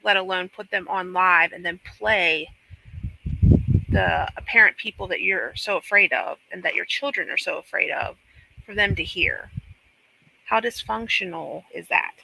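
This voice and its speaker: A woman's voice: on the low side, moderate pace, vocal fry, background noise. A woman giving unscripted live commentary in an incredulous, emphatic manner.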